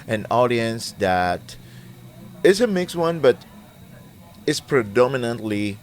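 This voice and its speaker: A man working as an interviewer, putting long, deliberate questions in a conversational style. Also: mid-range voice, very animated.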